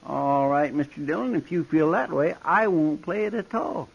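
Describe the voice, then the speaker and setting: Twangy, creaky folksy sidekick. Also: male voice, on the high side, lively intonation, moderate pace, highs cut off, full character voice. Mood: sheepish, sulky. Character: simple, stubborn, folksy male marshal's sidekick. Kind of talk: 1950s radio western acting. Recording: 1950s radio drama recording.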